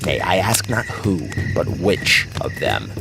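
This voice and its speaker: An actor playing a grave, insistent questioner in a classical tragedy, speaking formally and theatrically with a gravelly voice. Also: male voice, sits low, big pitch swings, a little slow, background noise, heavily acted. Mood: urgent dread. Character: grave listener urgently questioning a messenger of dreadful news. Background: music.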